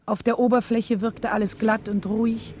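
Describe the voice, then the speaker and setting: A woman playing a reflective, devoted wife who narrates her memories in a German radio drama, with a husky, mellow, measured delivery. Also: on the high side, little pitch movement, slight background noise, close to an everyday voice.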